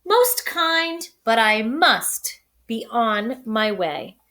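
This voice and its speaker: A woman voicing a small, polite, gently persistent male mouse in a children's storybook, speaking daintily and courteously. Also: fairly high pitch, expressive, drawn-out words, full character voice. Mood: apologetic. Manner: courteous, dainty, softly apologetic. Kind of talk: children's storybook character reading.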